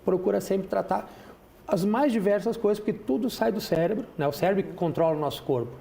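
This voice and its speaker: A man's voice: high-pitched, a little fast, vocal fry, warm tone. A middle-aged man from Brazil, a chiropractor guest on a TV talk show, explaining patiently with frequent restarts and tag questions. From Rio Grande do Sul, Brazil. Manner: didactic, many restarts and "né" tags. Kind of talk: explanatory interview answers. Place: TV studio.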